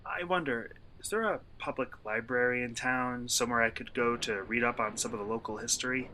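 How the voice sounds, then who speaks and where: A man playing a polite, inquisitive traveler in an eerie radio play, speaking in a courteous, measured, bookish way. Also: medium pitch, pushed and bright, a little hiss or hum, natural voice. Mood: polite, curious. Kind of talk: radio play acting and narration.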